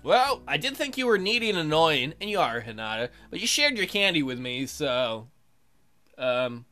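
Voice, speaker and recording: A woman's voice: low voice, big pitch swings, normal speed, fairly bright tone, faint music behind. A woman hosting a let's play, reading aloud and commenting casually in a playful, sarcastic way.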